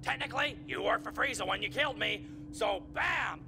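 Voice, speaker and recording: A man's voice: high-pitched, moderate pace, gravelly, background noise. A man voicing a brash, cheerful henchman turned producer in a parody anime dub, boastful and exuberant. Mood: gleeful.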